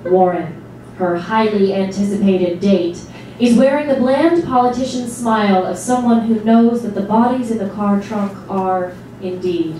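A woman's voice: medium pitch, a little slow, mellow. Wry woman narrator in a very echoey room. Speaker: narrator in a stage reading. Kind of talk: scripted literary narration, performed live. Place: small indoor theatre stage before an audience. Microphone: far camera. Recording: distant, very echoey, noisy live stage recording.

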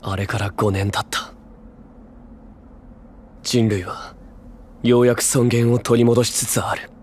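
A man's voice: mid-range voice, fairly even intonation, unhurried, darkish tone, a little hiss or hum, natural voice. A man playing a fierce, hot-headed teenage boy soldier in an anime game, intense and emphatic, burning with vengeance. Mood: grim resolve. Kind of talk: Japanese anime game voice acting.

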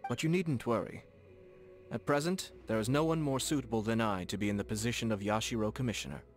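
An actor playing a courteous, composed young nobleman in a video game, speaking formally and softly with a gently teasing manner. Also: medium pitch, natural voice. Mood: composed, reassuring. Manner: formal, measured, politely playful. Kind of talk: video game character dialogue. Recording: studio voice over game music. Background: game background music.

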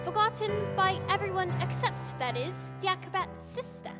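A female actor playing a clever, imaginative little girl who tells a story in a deliberate, theatrical, suspenseful way. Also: extremely high pitch, expressive. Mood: solemn, suspenseful. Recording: loud music behind the voice.

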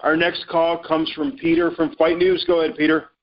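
A man's voice: a little high, level tone, normal speed, slight background noise. A man moderating a telephone conference call with formal announcements. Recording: dull lo-fi conference phone line.

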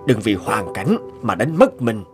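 A man's voice: fairly low pitch, very animated, music in the background. Kindly, earnest older policeman. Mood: earnest, firm. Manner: earnest, measured, moralizing. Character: kindly, principled older male policeman, grandfatherly and firm. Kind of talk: animated cartoon dubbing.

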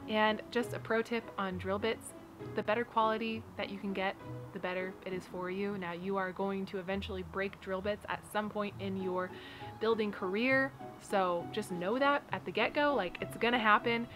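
A chatty woman in her thirties talks straight to the camera from her backyard garden, upbeat and loose with plenty of "like" and "you know." Her voice sits at an ordinary middle pitch, and music plays clearly underneath. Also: steady tone, moderate pace. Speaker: homestead building vlogger. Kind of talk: casual how-to talk to camera. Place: backyard garden outdoors by wooden raised beds. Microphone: camera framed on her seated, medium shot.

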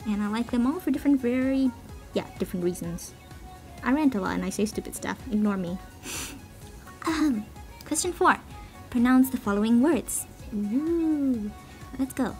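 A woman's voice: on the high side, lively intonation, normal speed, long pauses. A woman with a playful, giggly, self-deprecating manner, chatting casually and ramblingly to online listeners. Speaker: amateur cover singer answering a voice meme. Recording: music playing behind the voice. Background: background song.

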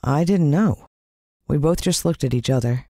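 An American woman narrating a children's story in the first person, expressive and suspenseful. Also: deep voice, moderate pace, no room sound, studio quality. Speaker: audiobook narrator.

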